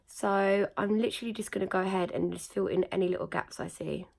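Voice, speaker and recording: A young woman with a croaky, slightly nasal voice after being ill, chatting casually through a makeup tutorial with lots of fillers. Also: mid-range voice, steady tone, fast talker. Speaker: beauty vlogger.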